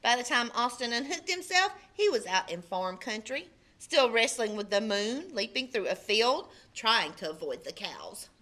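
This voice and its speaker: A woman, a librarian, reading a children's picture book aloud with expressive delivery. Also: fairly high pitch, normal speed, hardly any pauses. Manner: expressive, puts on character voices in quotes. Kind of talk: storybook read-aloud.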